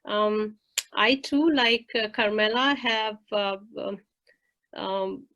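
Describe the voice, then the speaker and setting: Woman, measured explainer. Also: medium pitch, sharp tone, lo-fi sound. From India. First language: Hindi. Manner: measured, explanatory, with frequent fillers. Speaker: faculty member. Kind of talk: roundtable discussion.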